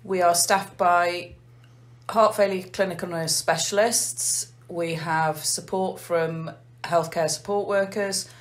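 An English woman, a nurse manager, calmly explaining a heart failure clinic in an interview. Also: on the low side. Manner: measured, methodical explaining.

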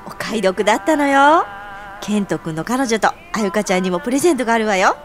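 A woman's voice: medium pitch, lively intonation, fast, faint music behind, lightly acted. An actress playing a sociable, inquisitive woman who teases and fusses over her friends in an audio drama. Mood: curious. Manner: feminine, chatty, nudging. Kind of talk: scripted audio drama acting.

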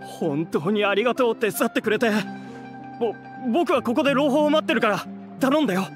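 A man's voice: very high voice, a little fast, soft background music. An actor playing an earnest, courteous young man from a theater troupe in a Japanese anime game. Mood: grateful.

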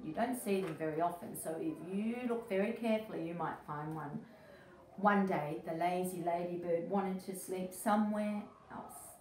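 Slow-paced woman reading to young children. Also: fairly low pitch. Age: middle-aged. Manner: expressive, emphatic, addressing young children. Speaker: early childhood educator, story-time host. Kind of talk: children's picture book read-aloud. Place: small indoor room. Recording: echoey room, distant mic, faint noise.

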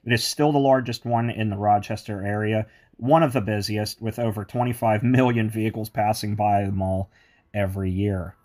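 Man, slightly low voice, eager and chatty as a mall tour guide. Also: fairly even intonation, moderate pace, a little mellow. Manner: enthusiastic, chatty asides. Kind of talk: walking tour narration with history. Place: indoor shopping mall.

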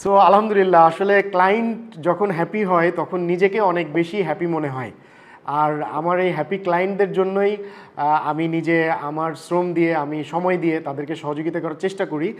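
Male vlogger-consultant, Bangladeshi accent, high voice. Earnest, courteous, conversational, some English mixed in. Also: steady tone, normal speed. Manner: earnest, polite, mixes in English words. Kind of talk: casual vlog talk and phone chat.

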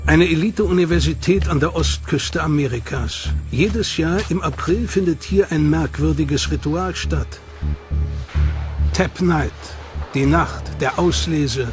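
Higher-pitched male documentary narrator.

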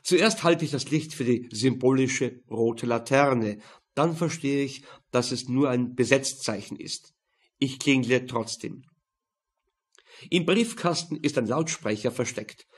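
A man's voice: mid-range voice, a little slow, slightly rough. A man with a Viennese accent reading scripted first-person crime narration in a dry, laconic manner. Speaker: audiobook narrator.

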